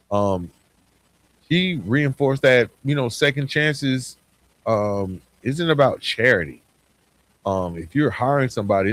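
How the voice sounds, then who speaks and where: A man hosting a podcast, giving a reflective monologue in a conversational, emphatic way, repeating phrases for emphasis. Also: mid-range voice. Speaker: podcast host.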